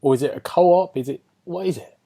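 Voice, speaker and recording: A man's voice: on the high side, fairly quick, gravelly, warm tone. A British man hosting a podcast, chatting casually about video games and often turning statements into questions. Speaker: podcast host.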